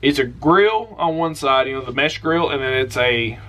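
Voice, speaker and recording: A young man hosting an outdoors channel, recommending camping gear in a relaxed, rambling, enthusiastic way from a small room. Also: mid-range voice, moderate pace, low-quality recording. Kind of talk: casual product recommendation vlog.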